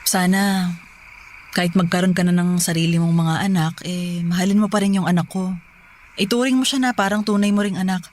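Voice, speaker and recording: An actress playing a loving, gentle older sister and earnest young mother in a radio drama. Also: on the low side, fairly even intonation, brisk, slight background noise, natural voice. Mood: pleading, tender.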